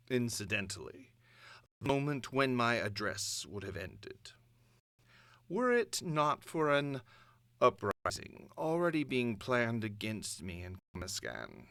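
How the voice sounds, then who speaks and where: A man playing an imperious, cold comic-book supervillain dictator, delivering a measured, grandiose address with pompous authority. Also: on the low side, sharp tone, no echo, clean studio sound, full character voice. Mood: cool disdain. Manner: measured, pompous, speaking of himself in third person. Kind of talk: dramatic comic-book villain monologue, broadcast address.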